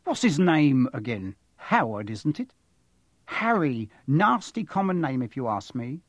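A man voicing a curt, disapproving middle-aged suburban English wife, sharp and stiff, in an audiobook. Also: medium pitch, sing-song, measured pace, no echo. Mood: stiff, disdainful.

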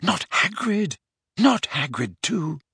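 Man putting on a frantic, high-pitched yelling teenage boy. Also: sing-song, takes it slowly, gravelly, slightly rough, dry recording, studio quality, full character voice. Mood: desperate. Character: desperate, enraged teenage boy wizard yelling spells.

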